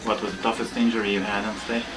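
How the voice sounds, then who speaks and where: Guy interviewer far from the mic, short questions, music blasting over him, kinda shouting. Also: lowish voice, flat delivery, fast talker. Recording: distant voice over very loud music.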